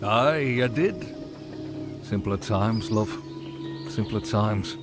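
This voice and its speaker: A man voicing a tipsy, nostalgic older rabbit in a fantasy visual novel, rambling fondly in a folksy way. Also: lowish voice. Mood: wistful, fond. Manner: slow, rambling, chuckling, folksy. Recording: music behind the voice.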